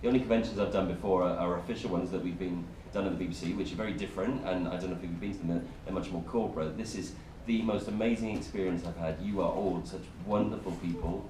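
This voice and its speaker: A man's voice: deep voice, steady tone, fast talker, few pauses. A man speaking on a convention panel, chatty and self-deprecating, often laughing. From United Kingdom. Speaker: panelist working on the show. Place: large convention hall. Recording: echoey hall, far mic, crowd noise.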